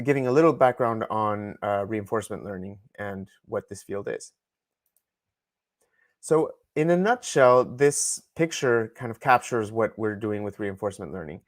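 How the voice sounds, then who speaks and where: A middle-aged man from Ecuador, a Spanish speaker, giving a recorded lecture in a relaxed way with many ums and self-corrections. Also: mid-range voice, no echo, studio quality.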